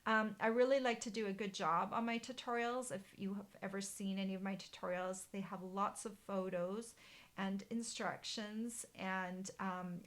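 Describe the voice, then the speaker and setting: A woman's voice: mid-range voice, fairly even intonation, moderate pace. Chatty woman hosting a craft live stream. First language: German. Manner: chatty, hesitant, many ums and restarts. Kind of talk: casual live-stream chat with viewers. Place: bright living room indoors.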